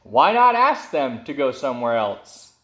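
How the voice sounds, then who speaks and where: A man voicing a calm, helpful, matter-of-fact pig from a children's storybook, with a deadpan, informative manner. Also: mid-range voice, measured pace, slight background noise, pitched below the performer's own voice. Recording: roomy echo.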